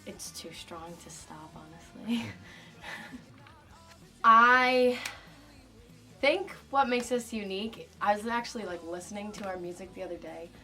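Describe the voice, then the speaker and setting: A woman's voice: mid-range voice, measured pace, long pauses, sharp tone, recorded from a distance, faint music behind. A young woman in her twenties from Connecticut, a band singer chatting casually in an interview, with lots of "like". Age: 20s. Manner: chatty, filler-heavy with frequent "like".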